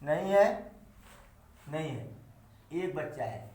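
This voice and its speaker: Slow-spoken man teaching in an echoey classroom. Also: fairly high pitch, lively intonation, warm tone. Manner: counts aloud slowly, quizzes the class, joking asides. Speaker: math teacher. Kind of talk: classroom lesson.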